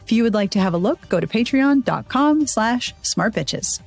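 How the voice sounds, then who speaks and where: A woman's voice: on the high side, expressive, normal speed, gravelly, faint music behind. An American woman hosting a podcast, reading sponsor spots and chatting with a guest in a bubbly, playful way. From United States. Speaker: podcast host. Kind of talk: casual podcast chat and read ads.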